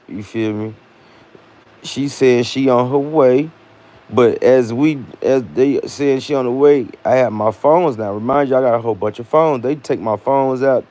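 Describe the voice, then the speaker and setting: American man telling a casual story. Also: mid-range voice. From United States. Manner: animated retelling with slang and acted-out dialogue. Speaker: vlogger.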